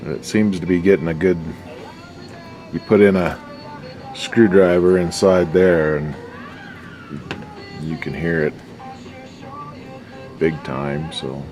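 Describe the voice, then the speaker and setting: A man with an extremely low, deep voice chats casually through a hands-on repair job. His delivery is folksy and slangy, muttered as he works, with faint music somewhere behind him. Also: a little mellow. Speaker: hobbyist radio restorer and video host. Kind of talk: casual unscripted workbench commentary.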